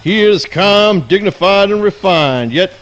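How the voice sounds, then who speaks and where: A man's voice: high-pitched, lively intonation, unhurried, fairly bright tone, muffled recording. A man playing a pompous, self-admiring hound dog who declaims in grand, genteel phrases in a comic radio play. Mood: lofty.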